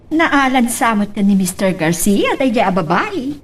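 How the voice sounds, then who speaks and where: A fairly high-pitched aunt played by a woman, snide and needling. She is a sharp-tongued relative in a radio-style drama. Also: big pitch swings, moderate pace. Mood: mocking.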